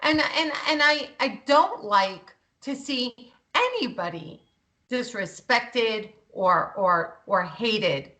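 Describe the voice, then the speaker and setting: A woman's voice: a little high, animated, slow pace, sharp tone. Blunt, fired-up woman ranting. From Greek heritage. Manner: impassioned, blunt, swears freely. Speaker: talk show co-host. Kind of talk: heated political talk.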